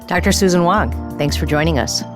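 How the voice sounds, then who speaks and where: A woman physician hosting a podcast, interviewing in a measured, professional style. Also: on the low side, faint music behind. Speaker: infectious disease physician.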